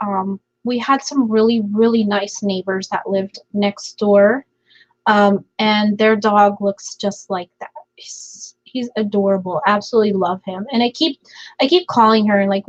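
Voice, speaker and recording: Chatty woman streaming from home, voice scratchy and half gone, a bit lo-fi. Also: medium pitch. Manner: chatty, laughs often, many fillers. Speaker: livestream host. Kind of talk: casual chat reading viewer comments.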